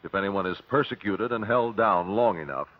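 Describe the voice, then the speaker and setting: Guy playing a relentless old-time radio detective, authoritative, husky voice, dull lo-fi sound. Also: on the low side, dry recording, natural voice. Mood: reflective, wry. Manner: measured, authoritative, accusatory. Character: shrewd, relentless detective questioning witnesses at an inquest. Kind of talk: vintage radio drama acting. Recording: dull vintage radio broadcast recording.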